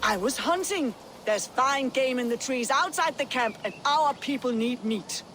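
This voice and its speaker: A proud, defiant Viking shield-maiden. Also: female voice, sits high, lively intonation, measured pace, a bit bright, slight background noise. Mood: proud, guarded. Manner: defiant, clipped. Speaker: video game character. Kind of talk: video game dramatic acting.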